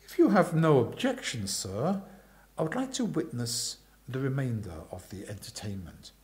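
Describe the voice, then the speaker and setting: A man voicing an unflappable, deferential valet who speaks in measured, polite, formal phrases. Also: medium pitch, very animated, darkish tone, close to an everyday voice. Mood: politely firm.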